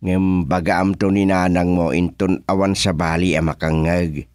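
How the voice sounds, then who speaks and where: A man with a Filipino accent playing an excitable father in a radio comedy drama. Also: deep voice, a little slow, smooth, dry recording, studio quality. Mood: grumbling. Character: excitable father fretting over his lost cellphone.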